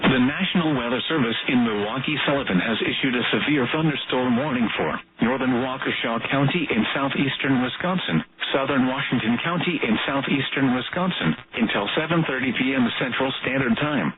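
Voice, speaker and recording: A synthetic-sounding man reading formal emergency weather alerts in a flat, measured way over a tinny radio broadcast. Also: mid-range voice. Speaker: automated weather radio announcer.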